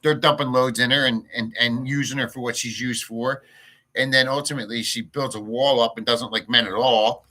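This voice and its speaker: A man's voice: mid-range voice, steady tone, normal speed, pushed and bright. A man hosting a casual livestream, ranting bluntly and crudely in a rambling way and answering chat. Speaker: livestream host. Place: home in a rural province.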